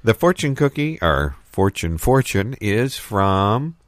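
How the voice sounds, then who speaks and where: Low deadpan man playing a advice show host. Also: slow, dry recording, clean studio sound. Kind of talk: improvised comedy, mock mailbag show.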